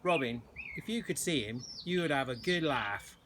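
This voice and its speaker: A man voicing a gruff, suspicious boy from a children's storybook. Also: mid-range voice, lively intonation, moderate pace, a bit bright, natural voice. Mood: teasing. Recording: noisy outdoor recording with birdsong.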